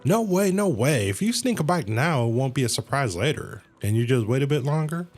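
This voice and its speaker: A man's voice: medium pitch, sing-song, fairly quick, a little mellow. A man livestreaming a game, casually reading dialogue aloud and reacting in slang, sometimes stumbling and correcting himself. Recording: game music behind the voice. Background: video game music.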